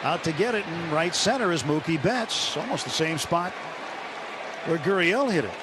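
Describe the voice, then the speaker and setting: Man, higher-pitched voice, radio baseball play-by-play, folksy phrasing, very noisy stadium background. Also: animated, normal speed. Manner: colorful folksy turns of phrase. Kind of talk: live sports commentary. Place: stadium broadcast booth. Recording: loud ballpark crowd and noise. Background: roaring stadium crowd.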